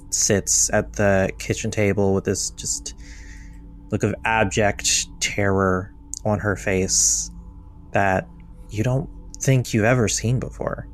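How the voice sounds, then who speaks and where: A man narrating as game master, casual, with many filler words. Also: fairly low pitch.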